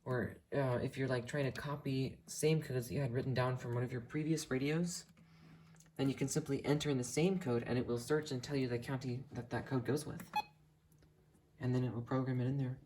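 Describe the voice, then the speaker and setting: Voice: mid-range voice, steady tone, brisk, a little mellow. Enthusiastic hobbyist, noisy recording. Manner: earnest, rambling enthusiasm. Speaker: hobbyist video creator showing a radio collection. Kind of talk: casual enthusiast collection tour.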